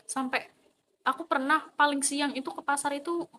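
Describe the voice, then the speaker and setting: High bright young woman chatting. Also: normal speed, a little hiss or hum. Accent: East Javanese Indonesian. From East Java. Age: young adult. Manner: chatty, animated storytelling. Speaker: vlogger, university student. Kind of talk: casual chat.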